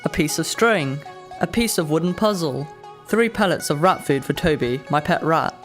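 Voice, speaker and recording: A man's voice: a little high, lively intonation, normal speed, fairly bright tone, soft background music. Young man playing a literal, precise teenage boy. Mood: precise, insistent. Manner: matter-of-fact, precise, literal. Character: literal-minded, precise fifteen-year-old boy narrating his story. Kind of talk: radio drama narration and dialogue.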